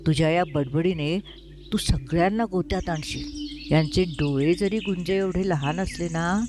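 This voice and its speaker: A woman's voice: lowish voice, close to an everyday voice. A woman voicing a tiny, anxious female ant who scolds fussily in a told story. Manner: fussy, scolding.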